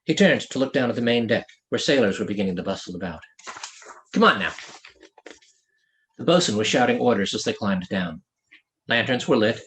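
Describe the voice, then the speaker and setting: A man narrating a story as an author reading his own novel, in an expressive storytelling delivery. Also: lowish voice, fast talker. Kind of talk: scripted audiobook narration.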